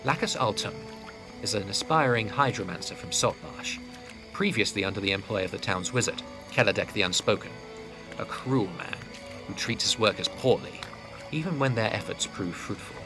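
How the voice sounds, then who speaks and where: A man narrating a scripted fantasy audio drama as storyteller, with a gravelly voice. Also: fairly low pitch, expressive, moderate pace. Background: clear background music.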